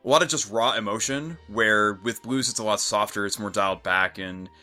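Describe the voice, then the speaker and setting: A man's voice: on the low side, normal speed, pushed and bright, soft background music. A man co-hosting a casual audio commentary on a fan dub, chatting through his thoughts. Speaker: co-commentator, dub voice actor and video editor.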